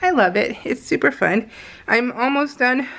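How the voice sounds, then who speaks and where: Gushy woman doing a chatty craft vlog, kinda high voice w lots of vocal fry, slangy. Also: moderate pace. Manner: gushing, slangy, animated storytelling with exclamations. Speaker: craft vlogger. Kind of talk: casual chatty real-time craft video voiceover.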